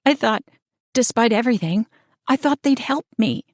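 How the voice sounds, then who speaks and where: A woman's voice: medium pitch, steady tone, moderate pace, darkish tone, no room sound, natural voice. A woman voicing a frightened young woman who talks in a shaky voice close to tears. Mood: tearful. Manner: shaky, close to sobbing, then bitter. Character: frightened, tearful young woman, a protective older sister.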